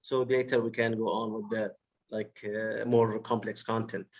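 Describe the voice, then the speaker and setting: Man from Bahrain, husky voice, halting host, video call. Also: lowish voice, level tone. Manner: halting, repeats words, many uhs. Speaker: host of online workshop. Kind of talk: casual video-call chat.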